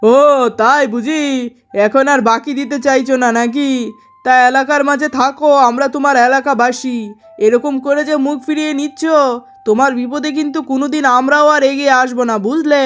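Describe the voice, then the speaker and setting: A woman's voice: high voice, steady tone, a little fast, faint music behind, lightly acted. A woman voicing a pushy, indignant village customer who lives on credit, in a cartoon story.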